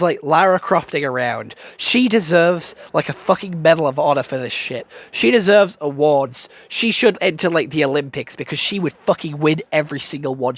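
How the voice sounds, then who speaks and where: A young man with a British accent reviews a game in loose, excited bursts, full of swearing and stammered restarts. His voice sits a little high for a man. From United Kingdom. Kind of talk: casual profane game review.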